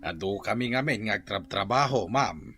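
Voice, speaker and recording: A man's voice: on the low side, animated, moderate pace, bright, forceful tone, soft background music. A man acting a resigned working father in a radio drama, wry and chuckling.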